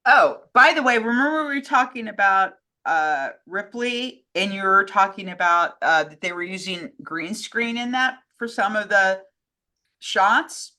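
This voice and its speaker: A woman's voice: medium pitch, animated, unhurried, pushed and bright. A woman co-hosting in a casual chat, speaking in a hesitant, slightly apologetic way. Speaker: co-host relaying audience questions and comments.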